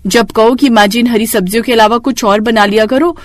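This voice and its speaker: A woman's voice: on the high side, fairly even intonation, brisk, dry recording, natural voice. A woman voicing a scheming young daughter-in-law in a moral story, with a dramatic, complaining manner. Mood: grumbling. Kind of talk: Hindi moral story voice acting.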